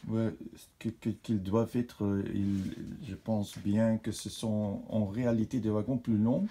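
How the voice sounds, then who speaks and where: A man for whom French is not his first language, hosting a model railway channel and talking in a casual, halting way with many fillers. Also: lowish voice, flat delivery, mellow, slight background noise. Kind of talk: casual hobby show-and-tell. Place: indoors beside a model railway layout.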